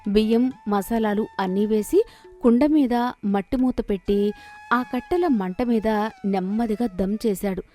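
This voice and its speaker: A woman's voice: mid-range voice, a little slow, warm tone, faint music behind. A woman storyteller narrating a scripted moral story.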